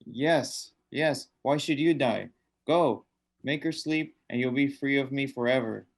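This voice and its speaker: A man's voice: mid-range voice, measured pace. Man playing a sinister, commanding undead old man. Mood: coaxing, commanding. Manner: insistent, commanding, repetitive. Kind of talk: radio drama acting.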